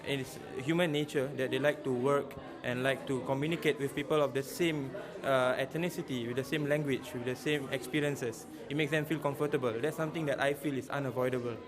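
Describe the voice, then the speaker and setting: A man's voice: medium pitch, fairly even intonation, fairly quick, few pauses. Young guy from singapore, lots of uh's, crowd noise all around. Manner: hesitant, frequent "uh" fillers. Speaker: student interviewee. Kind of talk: campus interview answers. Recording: crowd chatter behind.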